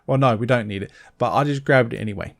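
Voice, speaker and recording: A man's voice: medium pitch, fast, creaky. A man giving casual gameplay commentary, explaining things step by step in an informal way. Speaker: game walkthrough commentator.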